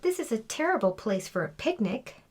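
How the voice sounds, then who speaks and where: A woman's voice: on the high side, big pitch swings, moderate pace, darkish tone. A woman reading a storybook, voicing a kindly schoolteacher who encourages her class. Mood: dismayed. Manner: encouraging, addressing a class of children.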